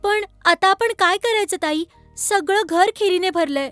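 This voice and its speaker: A woman playing an earnest, kindly younger sister with expressive delivery in a children's cartoon. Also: very high voice, normal speed, soft background music.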